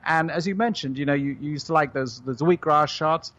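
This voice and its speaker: A middle-aged man with a British accent talks in a measured, even way, pitched a little high for a man. He sounds like a guest answering questions on a radio show, and the audio comes through dull and lo-fi. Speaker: company CEO guest.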